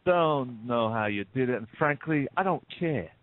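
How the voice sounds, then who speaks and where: A man's voice: medium pitch, animated, normal speed, close to an everyday voice. A man playing a smug, menacing bank robber in an audio play, speaking with taunting, mock-polite deliberation. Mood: mocking, commanding. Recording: muffled narrow phone-like sound.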